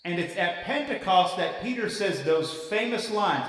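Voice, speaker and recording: A echo-soaked, distant sermon in a big hall, performed by a male actor. The preacher is a high-pitched man, folksy and impassioned, exhorting young believers in an audio drama. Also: lively intonation, moderate pace, a crowd in the background. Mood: earnest teaching. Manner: folksy, rhetorical, emphatic. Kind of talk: sermon, exhortation with call and response. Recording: very echoey hall, distant from microphone.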